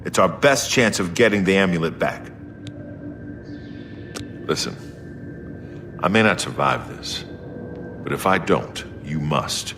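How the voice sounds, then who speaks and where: A deep, resolute secret agent. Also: male voice, expressive, takes it slowly, long pauses, noisy background, heavily acted. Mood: grave, resolute. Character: gruff, resolute male imperial secret agent, seasoned warrior. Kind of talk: video game dialogue acting.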